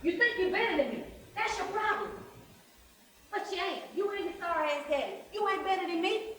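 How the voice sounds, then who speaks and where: Woman playing furious high-voiced abusive mother, roomy stage. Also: brisk, a bit bright. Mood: furious, threatening. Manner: confrontational, cursing, threatening. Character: abusive, volatile drug-addicted mother, threatening her daughter. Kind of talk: stage play acting. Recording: roomy, distant stage pickup.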